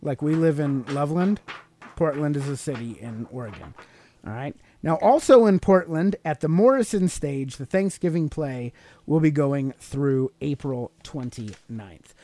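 A man's voice: on the high side, expressive, a little slow, warm tone. Man, American accent, podcast host, very varied intonation, informal radio talk, some reading aloud.

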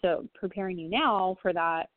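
A woman's voice: lowish voice, normal speed, no room sound. Reassuring woman medium on a tinny line. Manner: gentle, reassuring, hesitant with many ums. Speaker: psychic medium giving readings. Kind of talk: phone-in psychic reading on a talk show. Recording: tinny phone line, dry.

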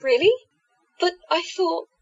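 A woman's voice: extremely high pitch, slow, vocal fry, dull audio, exaggerated voice. A woman playing a confident, affectionate otherworldly woman with hypnotic powers in an audio drama, commanding yet doting. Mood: pleading, doting.

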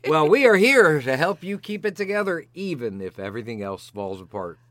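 A man's voice: on the high side, big pitch swings, brisk, fairly bright tone, dry recording. An older man co-hosting a medical podcast, bantering playfully and delivering lines with alliterative, announcer-like flair. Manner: jokey, alliterative, announcer-like flourishes. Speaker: podcast co-host, physician.

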